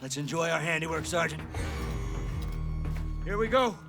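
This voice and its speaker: A man playing a gung-ho, swaggering assault commander in a war game, forceful and cocky over loud music. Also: fairly high pitch, animated, normal speed. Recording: loud game score and engine noise.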